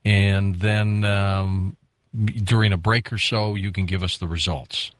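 A man's voice: low voice, flat delivery, measured pace, dry recording, clean studio sound. A man in his fifties hosting a radio talk show, asking his guest curious, chatty questions. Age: 50s. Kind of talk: radio interview.

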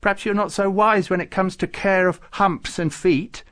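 A man's voice: sits high, big pitch swings, normal speed, a bit bright, voice pushed up. A man voicing an exuberant, easily offended shepherd saint in a storybook, declaiming grandly. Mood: indignant. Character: excitable, indignant male shepherd saint who adores animals. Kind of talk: storybook character voice.

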